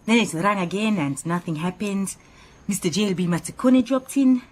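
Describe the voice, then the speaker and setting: Actress as an animated, opinionated woman assistant. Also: medium pitch, moderate pace, close to an everyday voice. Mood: coy, chatty. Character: earnest, opinionated female detective's assistant, proud and chatty. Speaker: actor in radio drama. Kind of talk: radio drama acting.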